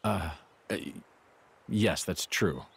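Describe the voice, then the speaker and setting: An actor playing a dutiful, obedient guardian with a husky voice in a game cutscene. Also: male voice, low voice, takes it slowly, exaggerated voice. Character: dutiful, soft-spoken, obedient male guardian. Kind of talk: video game cutscene acting.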